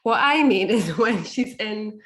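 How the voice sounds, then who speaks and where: A woman speaking casually as a guest author, halting and searching for her words. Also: medium pitch, lively intonation. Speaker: guest author being coached. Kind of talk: casual conversation.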